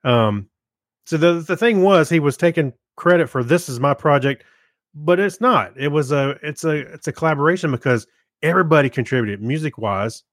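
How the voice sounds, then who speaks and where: A middle-aged man co-hosting a podcast, chatting casually in a low-key, hesitant, meandering way. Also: expressive, moderate pace, a bit bright, dry recording, studio quality. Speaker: podcast co-host. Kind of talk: casual chat, giving a review.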